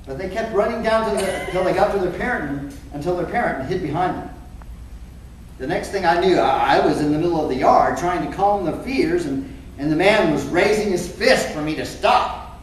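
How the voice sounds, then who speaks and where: A man's voice: sits high, normal speed, natural voice. A man playing a wry, exasperated cat owner, telling a comic first-person story theatrically in a stage read-through. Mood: animated, amused. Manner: animated, expressive delivery. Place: indoor theater stage, recorded from afar. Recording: echoey theater, distant mic, faint noise.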